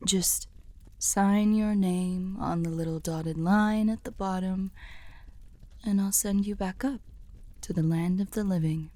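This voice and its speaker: A woman playing a teasing, sly demon in a scripted ASMR roleplay, speaking formally in soft, breathy half-whispers close to the microphone. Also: lowish voice. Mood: coaxing. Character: teasing, sly female demon offering a soul contract.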